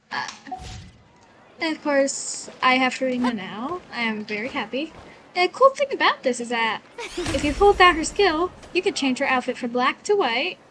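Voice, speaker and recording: A woman's voice: sits high, bright, forceful tone, a little hiss or hum. A woman giving casual, rambling gameplay commentary as the host of a gaming video. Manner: rambling, informal.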